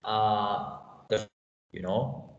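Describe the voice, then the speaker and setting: A man's voice: lowish voice, very slow. A man with a Mexican accent teaching an online class, chatty and loose, full of fillers and "you know". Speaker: English teacher. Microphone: laptop on a video call. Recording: echoey, dull, lo-fi, faint noise.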